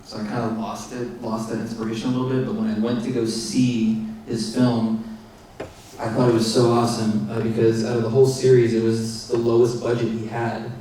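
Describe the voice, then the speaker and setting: A man, the filmmaker, answering audience questions in halting speech full of fillers and restarts, recorded in a theater. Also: mid-range voice, level tone, dark tone, a little hiss or hum. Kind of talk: post-screening Q&A answers. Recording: echoey theater from far off.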